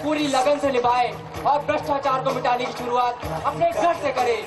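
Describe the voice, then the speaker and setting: A man narrating the close of a stage play in an emphatic, halting, oratorical style. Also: very high voice, steady tone. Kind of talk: declaimed closing stage speech in Hindi. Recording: distant mic, loud music and crowd.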